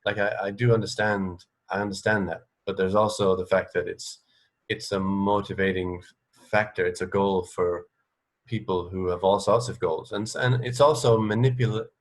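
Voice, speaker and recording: A man's voice: lowish voice, fairly even intonation, normal speed. A man interviewing in a conversational way, thinking aloud hesitantly and often rephrasing himself. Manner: hesitant, self-correcting, thoughtful. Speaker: radio interviewer.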